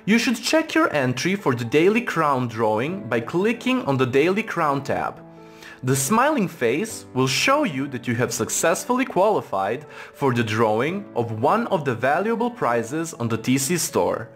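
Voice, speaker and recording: Man narrating a tutorial with lively intonation. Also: mid-range voice, a little slow, soft background music. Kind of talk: scripted instructional narration. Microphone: voice-over for screen recording.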